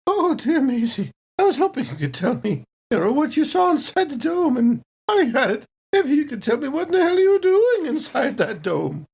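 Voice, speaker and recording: A woman's voice: high-pitched, very animated, normal speed, creaky, hardly any pauses, a little mellow, full character voice. A woman playing a coy, prying space station resident in tabletop roleplay, speaking with theatrical, teasing politeness. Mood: concerned, probing.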